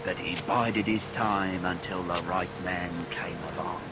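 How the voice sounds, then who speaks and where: An actor narrating a macabre old-time radio play as a wry storyteller. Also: male voice, deep voice, steady tone, a little slow, very noisy. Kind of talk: scripted radio drama narration. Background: loud music and rain.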